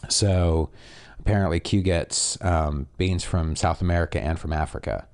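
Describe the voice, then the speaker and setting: Low deep canadian guy, nasal voice, laid back podcast chat w lots of ums. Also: clean tone. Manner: relaxed, unhurried, many fillers. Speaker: podcast co-host. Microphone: podcast microphone.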